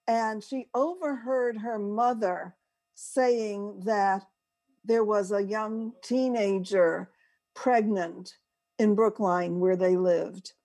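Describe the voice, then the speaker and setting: Older American female, unhurried conversational storyteller, very slow delivery, mid-range voice. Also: medium pitch, fairly even intonation, dark tone. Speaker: co-host. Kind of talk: casual anecdotal storytelling.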